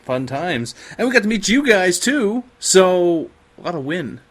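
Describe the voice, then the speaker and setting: Higher-pitched man, casual podcast host. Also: big pitch swings, measured pace. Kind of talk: casual chat interview.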